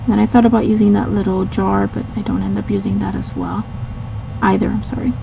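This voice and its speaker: A woman with a slightly hoarse, raspy voice casually narrating her craft video, rambling with many ums and restarts. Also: mid-range voice, fairly even intonation, fairly quick, background noise. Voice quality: raspy, catching a cold. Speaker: scrapbooking channel host.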